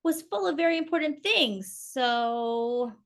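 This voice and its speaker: A woman reading a picture book aloud to young children in an expressive, exclamatory way, with chatty asides and questions. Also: high-pitched, slow. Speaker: children's storytime reader.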